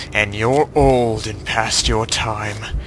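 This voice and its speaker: Arrogant vampire villain, male actor, low, bright cutting tone, mocking and theatrical, amateur audio drama with faint noise. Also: steady tone, drawn-out words, low-quality recording. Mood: scornful. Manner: taunting, theatrical.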